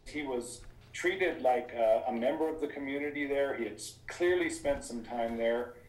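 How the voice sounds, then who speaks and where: A middle-aged man giving halting interview answers over an internet video call from a small office. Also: mid-range voice, reverberant, far from the mic, poor audio. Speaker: writer and interviewee. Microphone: computer microphone on video call.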